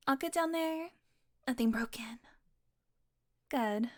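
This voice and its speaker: A woman's voice: high-pitched, darkish tone. A woman playing a sardonic, flirtatious, possessive female vampire in an intimate audio roleplay, talking teasingly to the listener and sometimes dropping into a murmur. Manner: sardonic, teasing, casually swearing, slipping into breathy murmurs.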